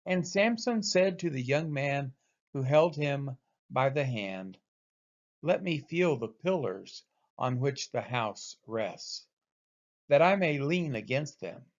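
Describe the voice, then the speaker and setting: A man reading scripture aloud as expressive narration, with deliberate, dramatic emphasis on quoted speech. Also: medium pitch, dull audio. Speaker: scripture reader.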